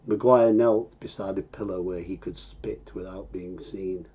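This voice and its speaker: Low, husky man reciting poetry. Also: fairly even intonation, measured pace, dark tone. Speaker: poetry reader. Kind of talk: slow, measured poetry recitation. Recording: dull, lo-fi muffled recording.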